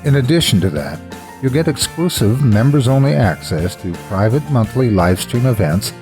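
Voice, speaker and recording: A man with a husky voice, a storyteller delivering scripted narration in deliberate, theatrical phrasing. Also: mid-range voice, very animated, darkish tone. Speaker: horror story narrator and host. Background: faint music.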